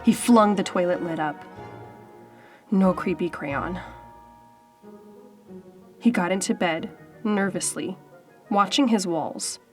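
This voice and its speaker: A woman narrating a children's storybook aloud in a dramatic, suspenseful way with deliberate pauses. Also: lowish voice. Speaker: storybook reader. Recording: faint background music. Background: faint music.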